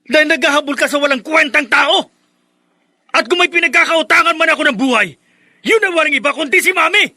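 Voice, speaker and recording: A man's voice: very high voice, brisk, bright, forceful tone, no echo, full character voice. A man acting a bitter, spoiled grown child with a scornful, theatrical delivery in radio melodrama. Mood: furious.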